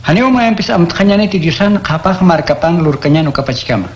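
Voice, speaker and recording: Un hombre interpreta a Jesús enseñando con calma y tono instructivo en un radioteatro cristiano. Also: male voice, a little high, fairly quick, dull audio, lightly acted. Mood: teaching. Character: Jesus, calm adult male teacher. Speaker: voice actor. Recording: echoey room.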